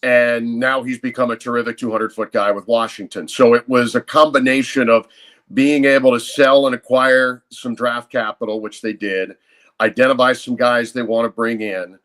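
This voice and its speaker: A man speaking as a guest sports announcer on a podcast. His delivery is conversational yet measured and articulate. He lays out his analysis fluently, like an experienced broadcaster. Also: medium pitch, steady tone, a bit bright.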